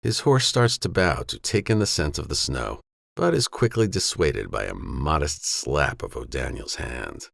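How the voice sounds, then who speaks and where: A man narrating a western novella as an audiobook, in a measured, grave storytelling manner. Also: very deep voice, sing-song, creaky, a bit bright, dry recording, studio quality.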